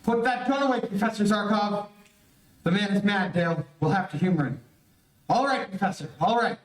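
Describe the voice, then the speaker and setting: A man's voice: sits high, fairly quick, lightly acted. A man playing a brave, protective young athletic hero in an old-time radio serial, earnest and commanding. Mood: earnest, wary. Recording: echoey stage, distant mic, live stream.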